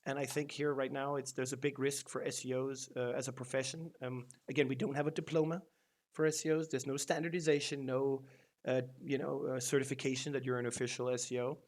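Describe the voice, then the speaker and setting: A man's voice: mid-range voice, level tone, a little fast. A man hosting a casual news roundup on his channel, talking loosely, with many ums and false starts. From Denmark. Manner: loose and rambling, lots of ums and restarts. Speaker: SEO news channel host.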